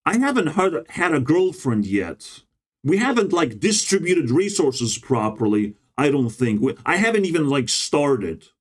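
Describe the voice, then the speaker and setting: Casting: man, meandering casual monologue, profane, drops "bro" often, erratic pitch swings. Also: big pitch swings, measured pace. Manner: meandering, swears often, ends phrases with "bro". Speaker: vlogger.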